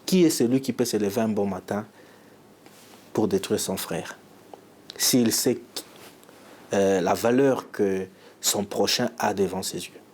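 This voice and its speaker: A man from the Democratic Republic of the Congo speaks as an education official in a low voice, emphatic and measured, with rhetorical questions. There is a faint background noise behind him. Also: a little mellow. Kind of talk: field interview statement.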